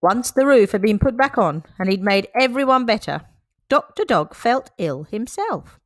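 A woman reading a children's picture book aloud, slow, clear and expressive. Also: on the high side, dry recording, clean studio sound.

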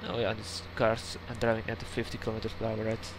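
A man's voice: on the low side, completely flat delivery, fast talker. A young man with a Slovak accent, rambling casually as he plays a farming game. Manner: chatty, rambling, with laughs and mild swearing. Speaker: gaming YouTuber. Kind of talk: casual let's-play commentary. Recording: game sounds and engine noise behind.

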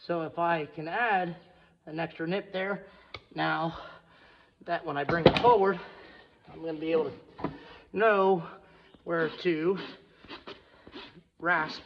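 A man with a fry-laden, gravelly voice narrates his hands-on farrier work in a casual, folksy way. He speaks in short fragments, thinking aloud. The sound is somewhat dull, with clear noise and clicks around him in the barn. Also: on the high side, steady tone, slow pace, pauses often. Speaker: farrier vlogger. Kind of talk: casual running commentary while working. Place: indoors in a horse barn. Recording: muffled, noisy barn with tool clicks.

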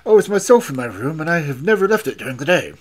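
A narrator voicing a scornful, agitated old male professor in an audiobook, loud, blustering and emphatic. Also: medium pitch, very animated, fast, pitched above the performer's own voice. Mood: scornful, mocking.

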